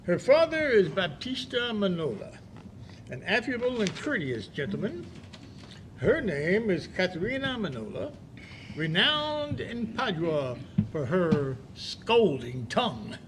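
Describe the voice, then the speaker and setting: A man playing a courteous, lovesick gentleman friend, declaiming expressively in a Shakespearean audio play. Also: sits high, big pitch swings, a little slow, noisy background. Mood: scheming.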